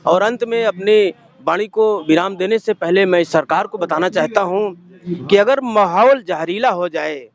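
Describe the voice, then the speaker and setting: A man's voice: sits high, unhurried. A man, a Buddhist monk giving a formal, oratorical appeal with emphatic repetition. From Uttar Pradesh, India. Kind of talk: formal impromptu speech to camera. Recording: dull lo-fi sound, crowd around.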